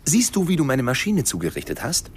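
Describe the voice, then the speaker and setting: An actor playing an aggrieved young gang leader in a radio drama, a bully with a confrontational way of talking. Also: male voice, medium pitch, huge pitch swings, fairly quick, breathy edge. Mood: menacing anger. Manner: threatening, confrontational.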